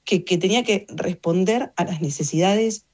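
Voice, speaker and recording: Low-pitched Argentine woman in her fifties. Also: normal speed, darkish tone. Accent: Argentine Rioplatense Spanish. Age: 50s. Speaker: interview guest, journalist and communications consultant. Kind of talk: reflective conversational interview answers.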